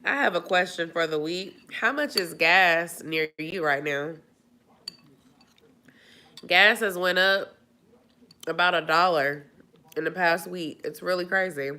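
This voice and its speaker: A woman's voice: fairly low pitch, measured pace, long pauses, bright, forceful tone. A woman chatting casually on a live stream, nasal and stuffy from a cold, rambling with lots of fillers. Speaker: vlogger answering live chat.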